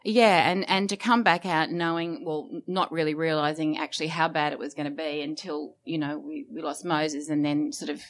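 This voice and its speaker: A woman, a foundation director, talking on a radio panel in an animated, rambling way with colourful phrases. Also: low-pitched, moderate pace, hardly any pauses. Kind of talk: casual radio panel chat.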